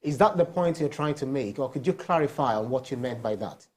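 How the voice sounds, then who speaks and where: A man's voice: mid-range voice, expressive, a little fast, darkish tone. A man working as a TV news interviewer, putting questions in a polite, roundabout way.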